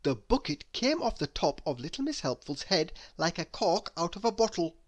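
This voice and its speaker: A woman reading a children's story aloud in an expressive narrating style. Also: on the low side, moderate pace. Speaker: storybook reader.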